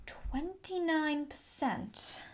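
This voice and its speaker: A woman's voice: sits high, animated, drawn-out words, heavily acted. A woman playing a precise, unemotional female ship's computer with a formal manner in an audio drama. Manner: formal, matter-of-fact.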